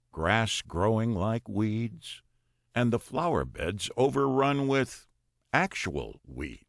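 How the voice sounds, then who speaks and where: Male audiobook narrator for first-person fiction, slightly low gravelly voice with fry, close dry recording. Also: no echo.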